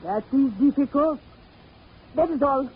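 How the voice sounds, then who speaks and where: High-voiced, imperious old Haitian sorceress. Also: female voice, animated, measured pace. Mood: grudging, ominous. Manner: imperious, broken English with short clipped phrases. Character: defiant, frightened old Haitian sorceress, proud and secretive. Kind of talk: 1940s radio acting. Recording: tinny, noisy old radio recording.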